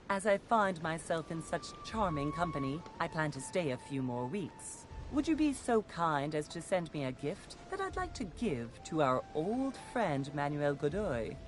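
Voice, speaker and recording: A refined aristocratic lady. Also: sits low. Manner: formal, slow, polite letter reading. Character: refined aristocratic woman writing letter. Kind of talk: video game voice acting. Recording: game audio under music.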